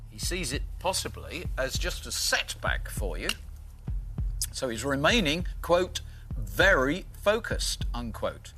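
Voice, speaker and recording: A man's voice: mid-range voice, sing-song, slow pace, sharp tone. A British man hosting a game show, effusive and full of praise for the contestant. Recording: studio with music and audience.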